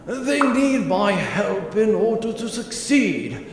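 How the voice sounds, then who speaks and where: A man's voice: sits high, very animated, a little hiss or hum, pitched below the performer's own voice, heavily acted. A man voicing a grandiose, theatrical old villainous commodore in a comic space-opera audio drama. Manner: slow, theatrical, speechifying. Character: grandiose old traitorous male commodore, villainous former mentor. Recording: very echoey hall reverb, music behind.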